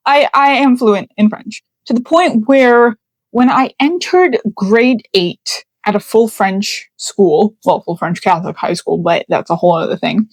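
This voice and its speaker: A woman's voice: mid-range voice, a little slow, no echo. A young woman from Canada whose first language is Russian, hosting a book channel in a chatty, self-deprecating way with many fillers and repeats. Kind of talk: casual chat to camera.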